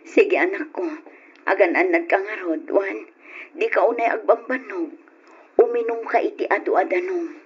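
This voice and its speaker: Tender, worried mother in an old radio serial, frail from illness, breathy husky voice, dull lo-fi sound. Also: mid-range voice, expressive, normal speed. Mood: caring. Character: caring, anxious mother and wife, weak from illness. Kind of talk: old Ilocano radio drama acting.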